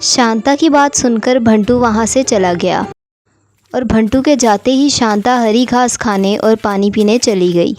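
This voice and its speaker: A woman's voice: a little high, unhurried, a little mellow, no echo, clean studio sound, faint music behind. A woman narrating a scripted story for children.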